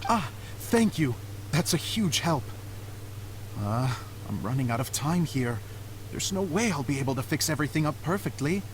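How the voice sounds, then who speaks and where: Eager dreamy stage director. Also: male voice, fairly high pitch, sing-song, moderate pace, slight background noise. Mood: earnest. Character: earnest adult male theater director, dreamy. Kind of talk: game voice acting. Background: game music.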